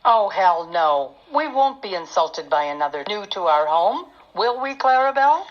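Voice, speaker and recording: A woman's voice: mid-range voice, sing-song, normal speed, natural voice. A woman voicing an indignant old female railway coach in a cartoon, prim and scolding. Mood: offended. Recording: dull, slightly noisy lo-fi microphone.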